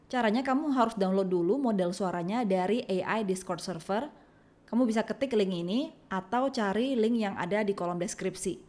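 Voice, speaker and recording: A woman presenting a scripted software tutorial in an instructional, step-by-step manner. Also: mid-range voice, normal speed.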